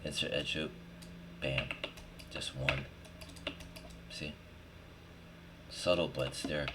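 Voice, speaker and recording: A man with an extremely low voice talks slowly through a 3D modeling tutorial. He thinks aloud in short, muttered, slangy fragments while he works. Clear background noise runs behind him, with typing and mouse clicks. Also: fairly even intonation. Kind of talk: casual screen-recorded tutorial, thinking aloud while working.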